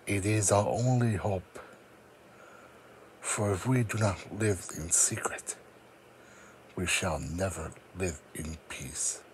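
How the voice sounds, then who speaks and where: A man voicing a brooding, proud cloned psychic creature in an audio roleplay, speaking solemnly with dramatic, deliberate pauses. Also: low voice, a little hiss or hum, rough recording. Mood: solemn, melancholy. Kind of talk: scripted ASMR audio roleplay monologue.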